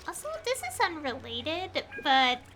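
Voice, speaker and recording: A woman's voice: sits high, very animated, unhurried, over music. A woman streaming a video game, reading dialogue aloud and commenting casually. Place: indoor livestream setup, game music behind.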